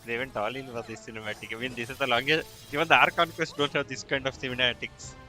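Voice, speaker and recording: Bright-voiced man streaming. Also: medium pitch, fairly even intonation. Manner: halting, repeats himself. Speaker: game streamer. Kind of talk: casual livestream chat and reactions. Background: game music.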